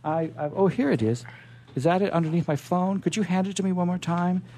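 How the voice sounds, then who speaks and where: A man hosting a radio show, chatting and reading aloud in a rambling, halting way, often repeating words. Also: sits high, dark tone, slight background noise. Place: radio studio. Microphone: studio microphone.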